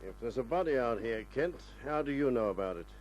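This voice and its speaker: A man's voice: mid-range voice, expressive, fast, darkish tone, close to an everyday voice. A man playing a skeptical, threatening police inspector in an old radio crime drama, stern and sarcastic. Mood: sarcastic, menacing. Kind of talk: mid-century radio crime drama acting. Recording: old radio recording with music.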